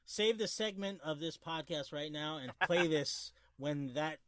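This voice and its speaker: A man's voice: fairly high pitch, measured pace, a bit bright. A man guest-hosting a movie review podcast in casual chat, skeptical and teasing, rambling with restarts. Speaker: guest co-host.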